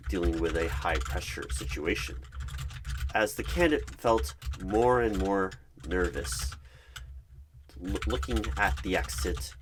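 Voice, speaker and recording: A man narrating an ASMR roleplay in the third person, with halting, measured phrasing. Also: sits low, slight background noise.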